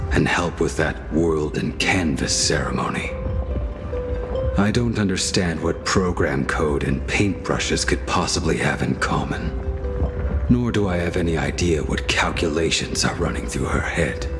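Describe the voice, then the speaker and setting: A solemn game warrior, with an extremely low voice. He speaks slowly and broodingly, measuring out each phrase with quiet resolve over background music. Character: solemn resolute man, undying warrior freed from fate. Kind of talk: dramatic video game monologue.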